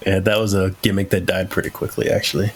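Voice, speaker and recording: Low-voiced man chatting casually. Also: flat delivery, quick pace, slightly rough. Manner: opinionated, swears freely. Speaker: podcast host. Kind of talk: casual chat.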